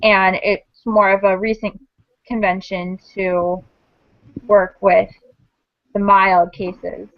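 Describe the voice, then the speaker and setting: A woman's voice: mid-range voice, level tone, no room sound. Young woman hosting, dull dry sound. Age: 20s. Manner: measured, explanatory, with small restarts. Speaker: host of a panel discussion. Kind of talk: casual group video chat.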